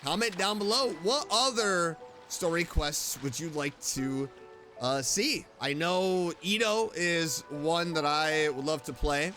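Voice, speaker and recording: A man doing casual gaming commentary, chatting excitedly to himself and his game character. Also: fairly high pitch, takes it slowly. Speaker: streamer, video game let's player. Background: game music.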